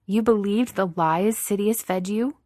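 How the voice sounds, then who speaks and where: Woman voicing a proud, defiant warrior woman, raised pitch. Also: animated, normal speed, dry recording, clean studio sound. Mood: disgusted, tart. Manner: pointed, challenging. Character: proud, defiant former female apprentice, sad but tart.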